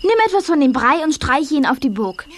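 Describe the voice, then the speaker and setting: A woman's voice: high voice, expressive, brisk. An actress playing a sweet but firm little girl leader in a children's audio drama. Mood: firm, explaining.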